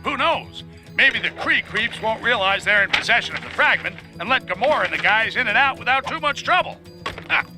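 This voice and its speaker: An actor playing a brash, sarcastic news editor who narrates the story with blustering bravado in a game cutscene. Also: male voice, high-pitched, expressive, brisk, over music.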